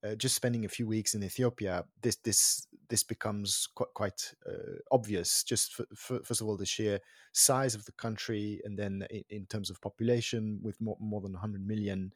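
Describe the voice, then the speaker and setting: A man's voice: fairly low pitch, fairly even intonation, moderate pace, husky, dry recording, clean studio sound. A man hosting a podcast interview, asking long questions with many fillers and restarts. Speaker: podcast host.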